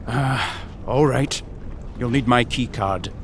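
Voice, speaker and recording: A man's voice: medium pitch, slow, slight background noise. An actor playing a sardonic, overworked British mine foreman in a video game, blunt and exclamatory. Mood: relieved, obliging. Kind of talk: video game cutscene acting.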